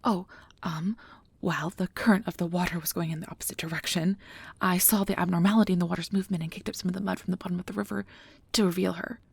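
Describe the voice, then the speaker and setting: Woman acting a fast-talking, nervous magic researcher. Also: on the low side, fairly even intonation, creaky, husky, no echo. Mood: anxious, hesitant. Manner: rambling, flustered, apologetic, halting. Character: nervous, rambling, caring young female magic researcher. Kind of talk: ASMR roleplay audio drama.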